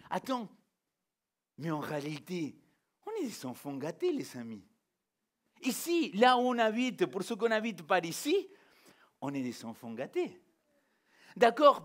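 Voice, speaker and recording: A man from Argentina, a native Spanish speaker, preaching as a pastor in an informal, interactive way, putting questions directly to his listeners. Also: high voice. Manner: animated rhetorical questions, addressing listeners directly. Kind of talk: informal interactive sermon to a congregation.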